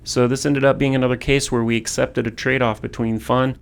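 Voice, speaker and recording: A man's voice: medium pitch, little pitch movement, brisk, gravelly, clear, resonant tone. A man reading scripted commentary as a game developer.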